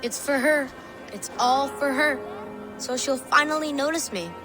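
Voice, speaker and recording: A woman's voice: high-pitched, a little slow, music in the background. A woman voicing a boastful young boy who means to become a great king. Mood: earnest.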